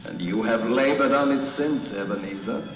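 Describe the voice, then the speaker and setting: A man's voice: medium pitch, moderate pace, dull audio, lightly acted. An actor playing the mournful, reproachful ghost of a dead businessman, solemn and declamatory, in a radio drama. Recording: echoey reverberant hall effect, faint music.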